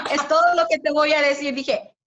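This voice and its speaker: A woman in her forties, chatting warmly and laughing easily as a guest on a virtual church ladies' meeting. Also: high voice, steady tone, a little slow. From Mexico. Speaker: invited speaker. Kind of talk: casual virtual interview. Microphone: video call. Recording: dull lo-fi video call audio.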